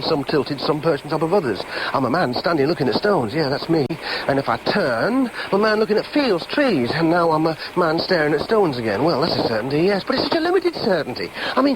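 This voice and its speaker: A man's voice: on the high side, background noise. An actor playing a bewildered, self-pitying man with a Yorkshire accent, philosophising in anguished, repetitive radio drama monologue.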